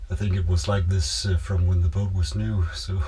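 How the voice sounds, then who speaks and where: Casting: middle-aged male DIY vlogger, lowish voice, plain hesitant explainer, noisy on-location sound. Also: flat delivery, moderate pace, mellow. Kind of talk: casual narration while doing boat repairs. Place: aboard a small boat, cabin and cockpit.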